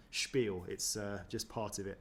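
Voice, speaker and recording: A man's voice: on the low side, fairly even intonation, slow, very noisy. A man chatting casually as he reacts to footage of himself, self-deprecating, with many ums and restarts. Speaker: running vlogger.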